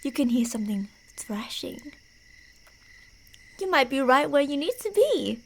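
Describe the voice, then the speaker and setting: High-voiced woman as a teasing, creepy yandere stalker. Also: very animated, unhurried, vocal fry. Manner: playful, menacing, taunting, dropping into whispers. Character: creepy, teasing, delusional female yandere stalker narrating her prey's path. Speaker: ASMR roleplay performer. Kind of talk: ASMR horror roleplay, second-person narration.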